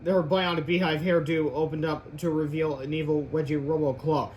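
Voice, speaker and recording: A man's voice: fairly high pitch, level tone, moderate pace, dull audio, a little hiss or hum. A man narrating a children's book read-aloud, stumbling over words now and then.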